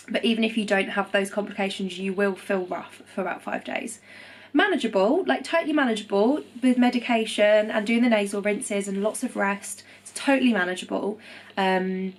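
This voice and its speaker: A young woman talking casually to camera at home, a bit stuffed-up after nose surgery, chatty and reassuring with lots of ums. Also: medium pitch. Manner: chatty, reassuring, many ums and self-corrections. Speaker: vlogger. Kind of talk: casual recovery vlog to camera.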